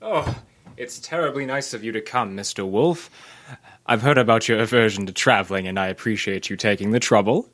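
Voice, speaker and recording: Man playing a courteous, lowish-voiced doctor, faint noise. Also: fairly quick, fairly bright tone, close to an everyday voice. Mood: gracious. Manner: polite, genteel. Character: courteous, welcoming sanitarium doctor, adult man. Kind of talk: old-time radio mystery acting.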